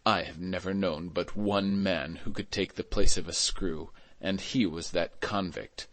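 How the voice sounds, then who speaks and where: A man's voice: low-pitched, fairly even intonation, a bit bright, pitched below the performer's own voice. A man voicing a stern, suspicious authority figure in a storybook, emphasizing each word with cool indifference. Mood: cold, insinuating. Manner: slow, emphatic, indifferent, deliberate. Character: stern, suspicious, coldly watchful male authority.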